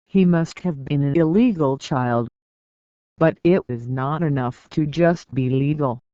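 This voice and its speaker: An audiobook reader giving a scripted narration, reading in a measured, deliberate way with pauses between phrases. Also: medium pitch, warm tone, clean tone, no room sound, dull audio.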